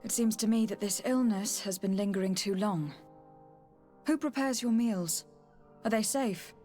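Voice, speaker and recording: An actress playing a composed young noblewoman diplomat in a video game, speaking in a measured, formal, earnest way. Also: medium pitch, mellow, faint music behind, close to an everyday voice. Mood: concerned. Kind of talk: video game cutscene acting.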